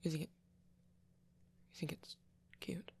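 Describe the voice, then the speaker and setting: A man playing a shy, nervous young vampire in an ASMR roleplay, stammering softly and apologetically with a British accent. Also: close to an everyday voice. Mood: shy, flustered. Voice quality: soft, breathy, often near whisper. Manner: stammering, halting, flustered and apologetic. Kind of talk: ASMR boyfriend roleplay, intimate acting.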